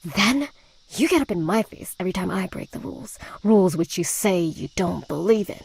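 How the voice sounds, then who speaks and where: A woman's voice: fairly low pitch, expressive, normal speed, gravelly, darkish tone, slightly rough, no echo, exaggerated voice. A woman voicing a rebellious, sardonic teenage schoolgirl in a visual novel, with a dry, taunting, laid-back manner. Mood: challenging, mocking.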